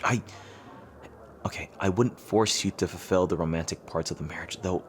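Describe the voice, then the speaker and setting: Deep-voiced earnest young-hearted rival king, played by a man. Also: a little hiss or hum, lightly acted. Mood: earnest, hopeful. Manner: hesitant, halting, earnest. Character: earnest lovestruck rival king proposing to an enemy knight. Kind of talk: romantic audio roleplay acting, intimate ASMR.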